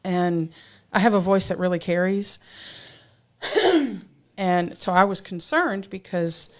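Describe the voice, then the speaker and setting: An older woman hosting a casual talk show, chatty and quick to laugh. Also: fairly low pitch, steady tone. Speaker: host.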